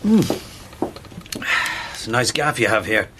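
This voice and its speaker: An Irish editor and old friend in a radio drama, his intonation highly animated. He talks in a natural, slightly hesitant way, loyal but careful. There is slight noise behind the voice. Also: unhurried. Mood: jovial, teasing. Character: newspaper editor, loyal but cautious older friend, gently teasing. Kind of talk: naturalistic radio play acting.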